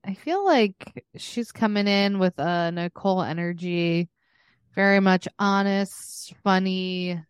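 A woman's voice: mid-range voice, takes it slowly, clear, resonant tone, dry recording. A woman co-hosting a podcast in casual comedic chat, giggly, rambling and candid. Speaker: podcast co-host.

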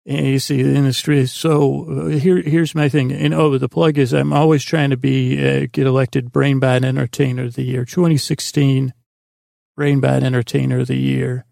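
A man with a creaky, gravelly voice hosting a sleep podcast in a meandering, halting, self-deprecating monologue full of tangents. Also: mid-range voice, level tone, a little mellow, dry recording, studio quality. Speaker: podcast host.

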